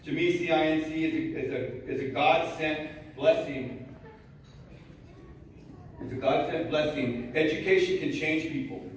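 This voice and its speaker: A man's voice: a little high, steady tone, a little slow. A man giving a nervous prepared speech as a student at a college gala, hesitant with many fillers and reading from notes. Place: large event hall. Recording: echoey hall from far off, faint noise.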